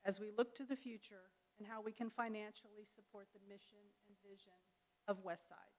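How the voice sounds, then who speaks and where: A woman's voice: medium pitch, steady tone, fairly quick. A woman giving a prepared address to a church congregation. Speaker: congregation speaker.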